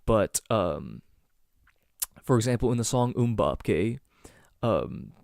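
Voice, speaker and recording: A man's voice: fairly low pitch, lively intonation, takes it slowly, gravelly, a little mellow, dry recording, studio quality. A young man from Southern Ontario with a Toronto-area Canadian accent, hosting a casual solo podcast and rambling with many ums and restarts. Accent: Canadian, Greater Toronto Area. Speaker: podcast host.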